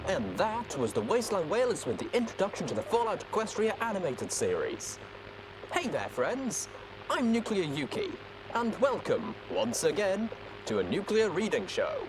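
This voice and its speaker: A man's voice: sits high, wildly swooping pitch, normal speed, music in the background, poor audio. A man hosting a show in the style of a radio DJ, introducing it and addressing listeners as friends. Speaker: show host in radio DJ persona. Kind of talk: post-apocalyptic radio DJ introduction.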